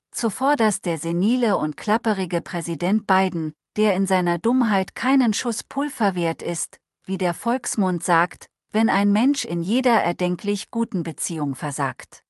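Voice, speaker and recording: A woman's voice: mid-range voice, darkish tone, no echo, clean studio sound, close to an everyday voice. A woman reading the part of an outspoken Swiss man whose native tongue is Swiss German, in an earnest, blunt and polemical manner with long formal sentences. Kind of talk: reading a transcribed conversation aloud.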